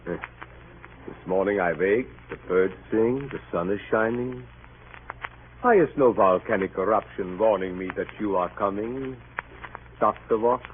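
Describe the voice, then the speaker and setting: An actor playing a sardonic Dutch colonial port inspector, with a Dutch accent and deliberate, mock-polite foreign phrasing, in an old-time radio drama. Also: male voice, lowish voice, close to an everyday voice. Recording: scratchy, tinny old radio broadcast.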